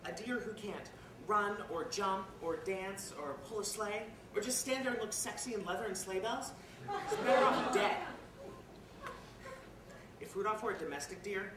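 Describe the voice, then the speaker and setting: A woman playing a bitter, guilt-ridden, washed-up reindeer parent in a confessional dramatic stage monologue that swings between hushed and loud. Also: medium pitch, close to an everyday voice. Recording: echoey, distant, noisy live room recording.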